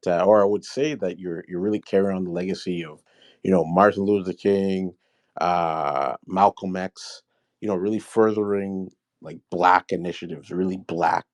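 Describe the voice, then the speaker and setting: A man's voice: sits low, darkish tone, no room sound, studio quality. A man from Michigan calling in to a show, rambling and hesitant with many fillers. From Michigan, USA. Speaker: caller. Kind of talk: casual call-in chat.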